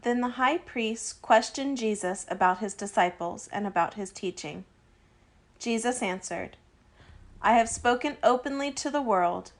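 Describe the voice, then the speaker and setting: A woman reads a Bible passage aloud for a church service. Her voice is of average pitch, and the delivery is scripted narration. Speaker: scripture reader.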